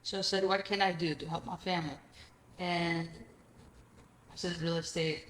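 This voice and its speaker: Brief: woman from Greece, a chatty and animated storyteller, recorded in a roomy space. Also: on the low side, moderate pace, a little hiss or hum. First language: Greek. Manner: animated storyteller, acts out quoted dialogue, frequent "I'm like". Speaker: guest, real estate investor. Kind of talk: casual interview chat. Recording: echoey room with faint ambience.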